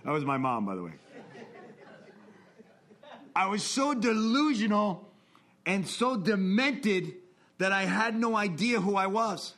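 A man in his forties giving an earnest, confessional talk about his recovery, swinging between intense bursts and hushed asides. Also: high-pitched, big pitch swings, takes it slowly, pauses often, some chatter behind. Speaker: recovery speaker. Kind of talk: personal testimony talk.

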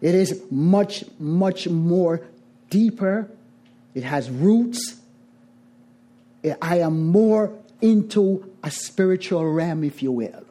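A middle-aged Jamaican woman addresses a recovery meeting. Her voice is dark and mellow, with soft edges. She speaks deliberately and with emphasis, circling back over phrases to give them weight. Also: low-pitched. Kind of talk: twelve-step recovery talk to a group.

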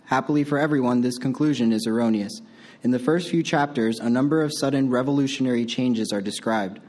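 A man reading a passage aloud at a meeting in a steady, measured way. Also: mid-range voice. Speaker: meeting reader.

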